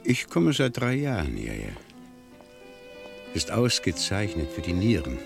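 Deep, creaky, talkative gentleman. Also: huge pitch swings, a little slow, over music, heavily acted. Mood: genial, ironic. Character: genial, garrulous male spa regular, drily ironic. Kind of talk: 1970s German radio play acting.

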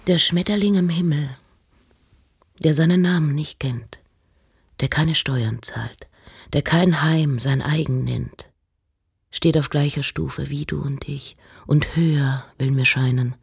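A woman giving an intimate, measured dramatic reading of poems and letters, at times dropping close to a whisper. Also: low-pitched, creaky, slightly rough. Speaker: reciter.

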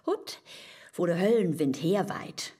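A woman's voice: fairly low pitch, expressive, slow, warm tone, husky, full character voice. An actress with a northern German accent playing an outspoken, mocking woman friend in a verse radio play, emphatic and teasing. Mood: defiant. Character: brash, shrewd, outspoken female confidante, fearless and mocking.

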